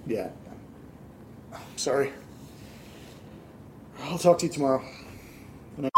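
A man's voice: mid-range voice, lively intonation, takes it slowly, a little mellow, a little hiss or hum. A man acting an exasperated, rattled young American in a naturalistic horror audio drama, emphatic and sweary. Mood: subdued, rattled.

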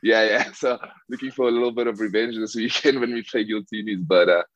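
A man's voice: on the low side, fairly even intonation, fairly quick, fairly bright tone. A young man from South Africa, a rugby player answering interview questions casually, with many fillers and restarts. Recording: dull lo-fi dry sound.

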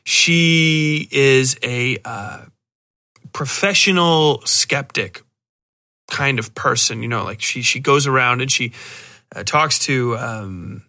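A man's voice: medium pitch, slow, sharp tone. A man hosting a podcast, giving a casual, rambling review in a sardonic, exasperated way. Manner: sardonic, exasperated, mockingly acts out lines. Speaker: podcast host.

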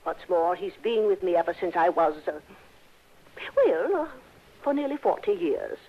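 An actress playing a genteel, trusting and easily flattered older wealthy widow in a 1960s radio drama, speaking in a fluttery, hesitant way. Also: female voice, fairly low pitch, normal speed. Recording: dull, lo-fi old radio recording.

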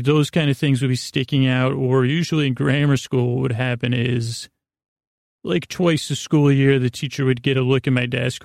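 A man hosting a sleep podcast in a creaky, lulling voice, rambling along in a meandering, halting bedtime monologue that often interrupts itself. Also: medium pitch, no echo, studio quality. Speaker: podcast host.

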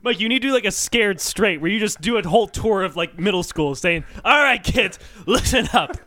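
A man with a high, bright voice in casual comic podcast banter. He swings around animatedly as he teases and mock-scolds, and the sound is dry and close. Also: lively intonation, brisk, no room sound. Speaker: podcast co-host.

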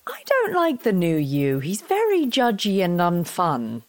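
A woman's voice: fairly low pitch, unhurried, dry recording. A woman playing a restless, wry partner bantering at home in a naturalistic audio drama. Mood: wry, playful. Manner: wry, animated, complaining.

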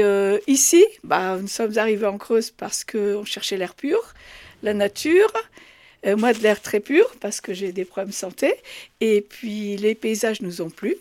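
A woman's voice: mid-range voice, a little mellow. A woman from France explaining her craft as an interview guest, hesitating and repeating words as she goes. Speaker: puppet maker and artist, interview guest. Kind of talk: casual interview.

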